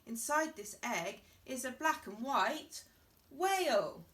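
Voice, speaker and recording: A smug know-it-all tomcat from a storybook, voiced by a woman. The delivery is self-assured and teasing, unhurried, with lively rises and falls. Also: high-pitched, echoey room, recorded from a distance, voice pushed up, heavily acted.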